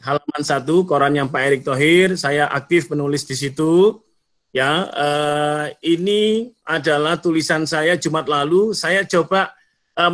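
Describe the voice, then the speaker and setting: A man's voice: on the high side, fairly bright tone. A middle-aged man from North Sumatra, chatting and joking with the audience as a guest speaker in an online seminar over a computer mic. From North Sumatra, Indonesia. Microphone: computer mic on a video call.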